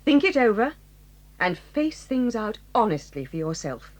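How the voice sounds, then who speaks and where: An actress playing a stern, dignified headmistress who reproves pupils in a measured way, in a 1980s audio drama. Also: female voice, on the high side, big pitch swings.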